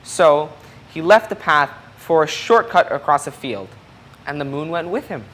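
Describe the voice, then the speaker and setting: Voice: mid-range voice, animated, moderate pace, a bit bright. A person reading a children's picture book aloud expressively, explaining things and putting questions to young listeners. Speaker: storybook reader.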